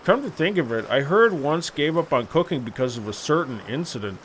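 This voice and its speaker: A man's voice: a little high, lively intonation, a little fast, a bit bright, a little hiss or hum, rough recording. A man playing a mild, easygoing diver in a video game, talking plainly and musing to himself. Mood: concerned. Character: mild, easygoing male diver hero, Dave.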